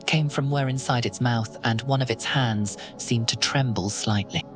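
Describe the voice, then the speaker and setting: A narrator telling a horror story in second person, slow and ominous. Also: medium pitch, over music.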